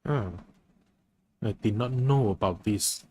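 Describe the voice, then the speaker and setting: A man's voice: fairly low pitch, takes it slowly, warm tone. A man doing casual live-stream game commentary, musing to himself in a hesitant way. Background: game music.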